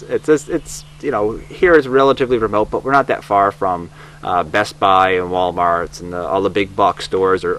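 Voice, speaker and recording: A man's voice: lowish voice, fairly bright tone. A man speaking as a director in an informal, reflective documentary interview. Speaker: audio drama director. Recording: faint background hum.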